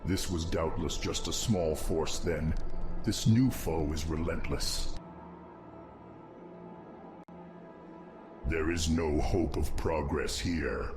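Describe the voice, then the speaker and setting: A man performs a grave undead insectoid commander from a fantasy game. His low voice is formal, measured and resolute, giving orders over clearly audible music. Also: steady tone. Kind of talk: fantasy game cutscene acting.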